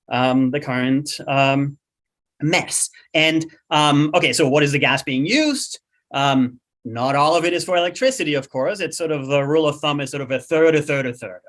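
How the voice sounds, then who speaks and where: A man with an Austrian accent, a guest expert explaining things in a podcast conversation, lively and thinking aloud with many "um"s and "right?"s. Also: medium pitch.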